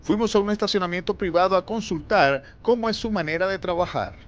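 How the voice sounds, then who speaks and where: A man narrates a news report in a measured, formal reading. His voice sits somewhat high. A faint hiss of background noise runs under the voice-over. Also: highs cut off.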